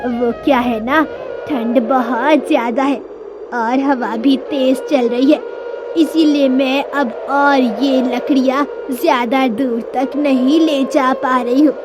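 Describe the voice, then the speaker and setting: A woman voicing a kindly, frail, lonely old village woman in a cartoon, gentle and motherly. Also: sits high, fairly even intonation, slow pace, music in the background. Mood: entreating. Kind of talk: Hindi moral-story cartoon dialogue.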